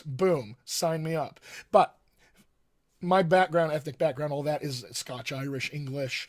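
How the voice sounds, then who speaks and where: A man with a general American accent and a slightly high voice teaches in an informal live-stream style. He speaks as a coach and host, stressing key points emphatically and repeating them. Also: darkish tone. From Colorado, United States. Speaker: dialect coach, session host.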